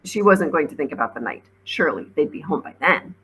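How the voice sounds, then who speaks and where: A woman's voice: low voice, lively intonation, quick pace, a bit bright, breathy edge. A woman, a teacher, reading a children's novel aloud as narrator. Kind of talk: storybook read-aloud narration.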